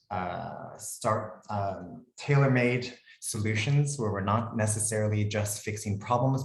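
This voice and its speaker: A man with an American accent, a lawyer presenting a webinar in a relaxed, conversational way. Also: fairly low pitch, roomy sound, rough recording. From United States. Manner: relaxed, conversational, frequent ums and "cool".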